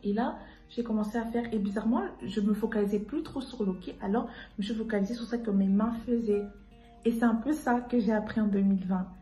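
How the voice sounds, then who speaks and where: A young woman from Congo speaks casually, mixing in English phrases and laughing easily. Her voice sits at a medium pitch. The room rings with echo and soft music plays underneath. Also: steady tone, a little fast. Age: 20s. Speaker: guest. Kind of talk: casual testimony to camera.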